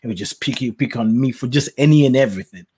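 A man's voice: mid-range voice, racing, mellow, slight background noise. A man who grew up in Nigeria tells his life story as a guest, reflective and laughing often. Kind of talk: personal storytelling in conversation.

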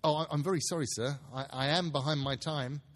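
A man's voice: on the high side, fairly even intonation, brisk, close to an everyday voice. A man voicing a timid, deferential clerk who stammers apologetically in a storybook reading. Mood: nervous, apologetic.

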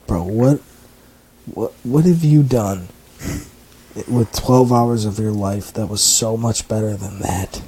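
Guy with a muffled voice, rambles excitedly, says like a lot, faint hiss behind him. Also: on the low side, slow. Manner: enthusiastic, rambling with lots of "like". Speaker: podcast co-host. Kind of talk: casual chat.

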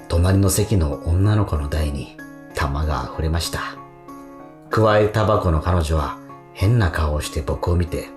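A man's voice: deep voice, a little mellow, natural voice. An actor playing a dreamy, slightly awkward young man who tells of his romance in a radio drama. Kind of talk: radio drama monologue and dialogue. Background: plucked guitar music.

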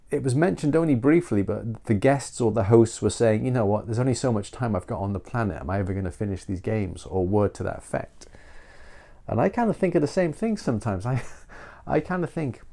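A man's voice: medium pitch, animated, a little fast, vocal fry, warm tone. A middle-aged man hosting a solo podcast, nasal from a cold, rambling and self-deprecating with frequent restarts. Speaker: podcast host.

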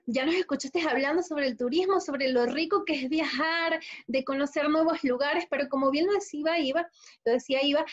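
A woman co-host with a high, light voice asks her guest questions in a friendly way, pausing on fillers. The sound is dull and lo-fi. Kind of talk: casual talk show chat.

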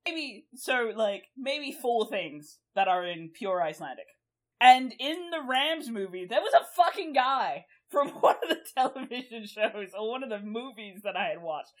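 A woman's voice: on the high side, animated, moderate pace. A young woman co-hosting a podcast, chatting casually in a rambling way, laughing often and swearing freely. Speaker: podcast co-host.

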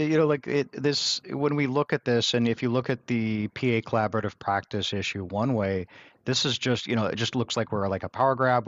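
A man's voice: fairly low pitch, no echo, highs cut off. A man co-hosting a podcast, talking loosely and filling his sentences with "like". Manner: loose, filler-heavy, says like a lot. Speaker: podcast co-host. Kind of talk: casual podcast talk.

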